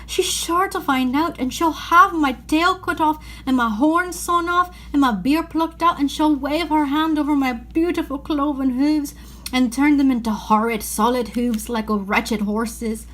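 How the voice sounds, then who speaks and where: An anxious, gentle faun, played by a woman reading a storybook, pitched high. He pleads and confesses dramatically, full of remorse. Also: steady tone, a little slow. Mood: tearful, fearful.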